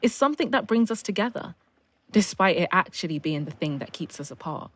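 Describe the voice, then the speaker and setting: A woman's voice: lowish voice, lively intonation, brisk, a bit bright, no room sound. A middle-aged woman with a southern English accent, sharing personal memories in a thoughtful, hesitant, reflective way. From south coast of England. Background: music.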